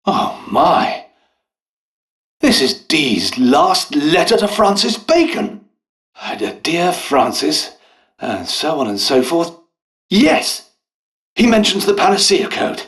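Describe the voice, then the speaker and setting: An actor playing a polite, dutiful, harried English doctor and scientist in a video game, with a British accent and a hesitant, genteel manner. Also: male voice, on the high side, big pitch swings, takes it slowly, pauses often, fairly bright tone, heavily acted. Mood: excited, grateful. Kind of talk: scripted game character dialogue.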